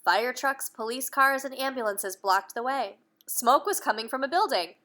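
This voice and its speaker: A woman reading a storybook aloud to young children, expressively, pausing to put questions to her listeners. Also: sits high, lively intonation, bright, forceful tone. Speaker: children's read-aloud host, speech-language teacher.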